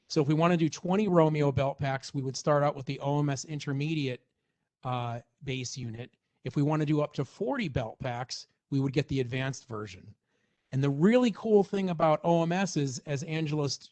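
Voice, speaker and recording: A man presenting a product walkthrough in an informal, explanatory way, as in a semi-scripted technical demonstration. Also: on the high side.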